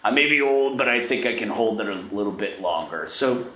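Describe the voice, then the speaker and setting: A man voicing a talkative, polite elderly gentleman in a tabletop game, with a hesitant, rambling, folksy way of speaking. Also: lowish voice, expressive, a little fast, pitched above the performer's own voice. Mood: genial, chuckling. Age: older. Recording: roomy echo, background noise.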